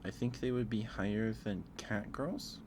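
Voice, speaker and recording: A man with a low-pitched voice hosts a casual ASMR stream. He speaks softly and hesitantly, rambling as he thinks aloud. There is clear background noise on the recording. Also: a little slow. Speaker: livestream host. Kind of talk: casual ASMR livestream chat, ranking aloud.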